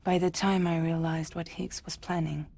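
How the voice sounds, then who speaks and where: Low-voiced, determined woman courier. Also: level tone, moderate pace, gravelly, very noisy. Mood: bitter, haunted. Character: determined, anguished young female courier, urgent and defiant. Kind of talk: cinematic video game acting.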